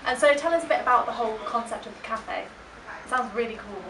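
A woman's voice: on the high side, brisk, background noise. Upbeat young woman interviewer, echoey room. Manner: upbeat, frequent affirming murmurs. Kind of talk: friendly interview questions with many backchannels. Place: cafe venue indoors. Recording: echoey cafe room, mic at a distance.

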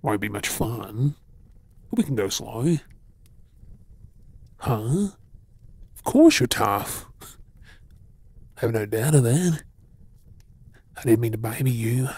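A man acting as a kind Australian rescuer in an ASMR drama, soothing someone who is hurt. He speaks slowly and softly, with a husky, breathy voice and unhurried pauses. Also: medium pitch, expressive, full character voice. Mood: gentle, caring. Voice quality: soft, breathy. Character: kind, reassuring male rescuer tending an injured woman. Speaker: ASMR role-play performer. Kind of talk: intimate ASMR role-play drama, spoken softly to the listener.

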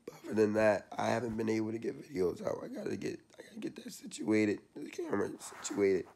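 A young man with a hoarse, worn-out voice giving a casual, slangy vlog update from a small room, recorded close on a laptop webcam. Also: lowish voice, very animated, quick pace, darkish tone. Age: young adult. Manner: loose and chatty, slangy. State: hoarse, losing his voice. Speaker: sports channel vlogger.

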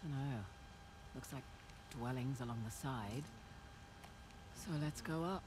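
A woman's voice: a bass voice, takes it slowly. A woman in her thirties playing a wry, casual treasure hunter in a naturalistic cinematic game style. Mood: awed, thoughtful. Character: wry adventurous woman treasure hunter, moved by ancient relics. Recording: clear ambient noise behind voice.

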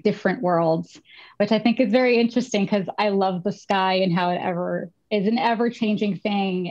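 A woman's voice: mid-range voice, darkish tone, highs cut off. A woman casually presenting her paintings on a video call, often saying "like". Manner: casual, with frequent "like". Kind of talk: online slide presentation. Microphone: laptop webcam video call.

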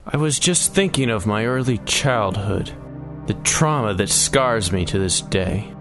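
A man's voice: fairly low pitch, lively intonation, a little slow, music in the background. A man playing a grumpy, grudge-holding leader in a mock-solemn way for an audio comedy sketch. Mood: brooding. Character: grumpy leader haunted by childhood grudge against monkeys.

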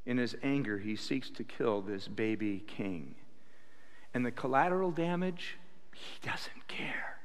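An older man delivers a sermon from a church pulpit into a stand mic. His voice sits at a middle pitch, and his delivery is animated, swinging from quiet remarks to loud emphatic quotes. The room behind him rings with a long, hall-like reverb. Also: a little slow. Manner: animated preaching with sudden loud acted quotes. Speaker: pastor. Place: church sanctuary stage at a pulpit.

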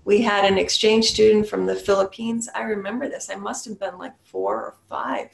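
A woman in her forties who teaches meditation talks slowly and reflectively, with small restarts along the way. Her voice has a gravelly edge and rings a little in an echoey home room. It comes through a webcam on a video call. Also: mid-range voice, lively intonation. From New Hampshire, USA. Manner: thoughtful, unhurried, with small restarts and soft laughs. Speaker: Zen priest and meditation teacher.

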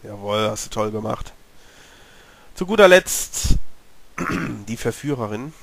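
A German-speaking man with a cold, slightly hoarse, giving chatty commentary while playing a horror game and talking to his character. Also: lowish voice, expressive, takes it slowly, a little hiss or hum. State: has a cold, clearing his throat. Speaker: let's play gamer. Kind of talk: casual gameplay commentary.

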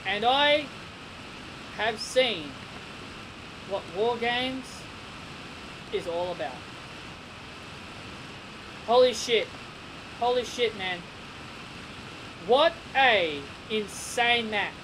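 A young man giving a casual wrestling review from his bedroom, excitable and repeating himself with stammering restarts, recorded on a desk webcam. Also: high voice, very slow, long pauses. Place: small bedroom. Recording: noisy home webcam recording.

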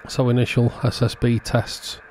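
A man's voice: medium pitch, completely flat delivery, normal speed, darkish tone, a little hiss or hum. A man giving an informal, matter-of-fact voice-over, explaining a hands-on repair step by step as the host. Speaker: host of a radio repair channel. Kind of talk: informal scripted-sounding voice-over narration of a repair.

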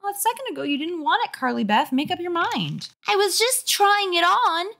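Bratty eight-year-old brother, played by a woman: high, childish, whiny and animated, for a comic storybook. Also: normal speed, gravelly, heavily acted. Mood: taunting. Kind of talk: hammy comic audiobook reading.

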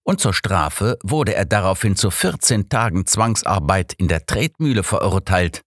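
A man voicing a sardonic, sharp-minded elderly detective in an audiobook, pointed and dryly persuasive. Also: sits low, expressive, normal speed, dry recording, studio quality, voice pushed up. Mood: grave, lecturing. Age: older.

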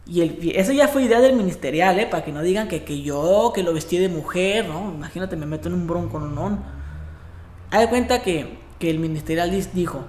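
Animated Mexican man in an echoey barbershop. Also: high voice, fairly quick, a little hiss or hum. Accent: Mexican Spanish. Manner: animated, profane, acts out quoted voices with gestures. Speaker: barber and vlogger. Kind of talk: casual storytelling vlog. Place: indoor barbershop with brick wall. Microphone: camera at desk distance.

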